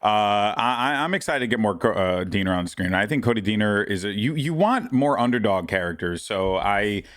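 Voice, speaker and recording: A man co-hosting a wrestling podcast, talking informally and haltingly with lots of "you know" and "like". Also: fairly low pitch, very animated, a bit bright. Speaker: podcast co-host. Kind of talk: casual wrestling chat.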